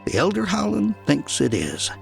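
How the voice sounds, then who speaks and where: A man narrating an explorer's journal as an audiobook, telling it in a measured, dramatic way with deliberate pauses. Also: sits high, wildly swooping pitch, creaky, soft background music.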